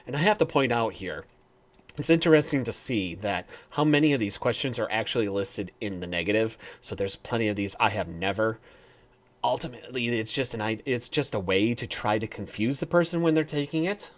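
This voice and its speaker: A man with a Northern US accent reads a quiz aloud in a casual vlog. He reads each statement and then answers it with wry asides. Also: mid-range voice. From northern United States. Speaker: vlogger.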